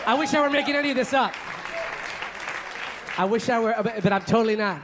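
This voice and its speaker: A man from Colombia doing a stand-up set on a comedy club stage, loud and exuberant as he works the crowd. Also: very high-pitched, fairly quick, noisy background.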